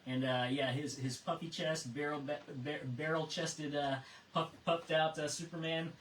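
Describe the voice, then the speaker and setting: A middle-aged or older man acting as net control on a ham radio net, talking casually through check-ins and often halting to repeat words. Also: a little high, little pitch movement, a bit bright. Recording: roomy, distant mic, faint noise.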